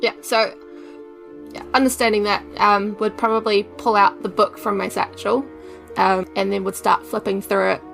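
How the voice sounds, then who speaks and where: Female player, New Zealand accent, mid pitch, creaky voice, casual questioning roleplay, faint music. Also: level tone, normal speed, a bit bright. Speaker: player in a tabletop game. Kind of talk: casual in-game roleplay chat.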